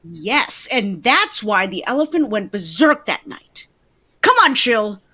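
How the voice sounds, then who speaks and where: A woman playing a brisk, decisive police detective with emphatic delivery, in old-time radio drama style. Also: mid-range voice, big pitch swings, pushed and bright, slightly rough, full character voice. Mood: urgent, decisive. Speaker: amateur voice actor in live table read.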